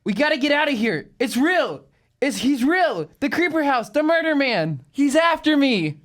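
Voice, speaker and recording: A woman's voice: sits high, very animated, normal speed. A woman acting a jittery, complaining middle-school kid in an amateur radio drama. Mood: panicked.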